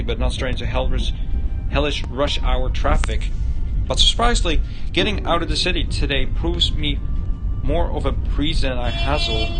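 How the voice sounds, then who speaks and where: Halting male streamer reading aloud over loud music. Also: mid-range voice, low-quality recording. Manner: halting reading with stumbles and repeated words. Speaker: livestreamer reading a visual novel aloud. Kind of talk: live narration of game text. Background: game music.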